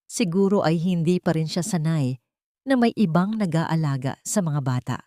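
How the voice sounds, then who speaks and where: A woman voicing a scheming female doctor who feigns gentle concern, speaking in a measured, falsely caring way. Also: sits low, dry recording, clean studio sound, close to an everyday voice. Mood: feigned patience.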